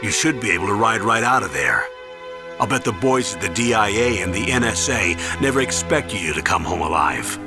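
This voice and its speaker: An actor playing an older military commander in a video game, measured and earnest, with a fatherly gravity. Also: lowish voice, lively intonation, creaky. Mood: warm reassurance. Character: older male military commander, grave, paternal, remorseful. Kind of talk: dramatic video game acting. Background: music.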